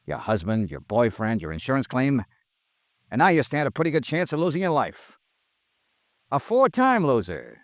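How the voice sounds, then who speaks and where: An American actor playing a cool, accusing insurance investigator in a 1950s radio drama, with a clipped, deadpan delivery. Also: male voice, mid-range voice, normal speed, dry recording. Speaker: lead actor and narrator. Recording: vintage radio drama, dry, music behind.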